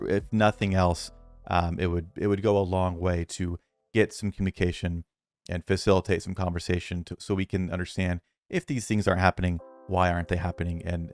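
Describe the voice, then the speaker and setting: A man with a deep, low voice talks straight to his viewers in a loose, unscripted way. He is earnest and rambling, restarts his sentences, and leans on plenty of ums and uhs. Soft music plays faintly behind him. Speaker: mobile strategy game content creator. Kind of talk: casual talk to viewers.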